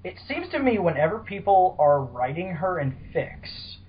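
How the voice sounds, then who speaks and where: A man's voice: high-pitched, big pitch swings, normal speed. A man hosting a casual fan discussion podcast, talking in an informal, rambling way. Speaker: podcast host. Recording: dull, lo-fi voice call audio.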